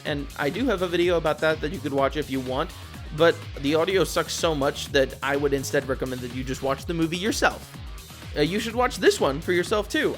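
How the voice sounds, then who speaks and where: A film reviewer narrates a scripted video essay with plenty of swing in the voice. The pitch sits in a middle register and the phrasing is casual, blunt and opinionated, with some swearing. Light music plays underneath. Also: a bit bright.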